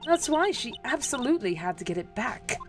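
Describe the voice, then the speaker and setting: A woman's voice: a little high, big pitch swings, brisk. A woman playing a scornful, insistent young female defense lawyer in an amateur video game dub, with music behind.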